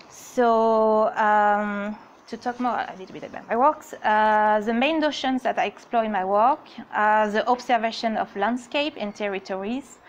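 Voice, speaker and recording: Woman, 30s, French accent, warm apologetic presenter, slightly higher voice, far mic in a courtyard. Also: slight background noise. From France. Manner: friendly, laughs nervously, apologetic. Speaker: artist giving a talk. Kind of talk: presentation reading from notes. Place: outdoor courtyard on stone steps.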